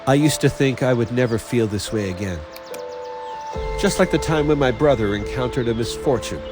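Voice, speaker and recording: Man acting young male senior, gruff, brooding, medium-pitched, blunt, fantasy game, music clearly behind. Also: moderate pace, lightly acted. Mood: frustrated, brooding.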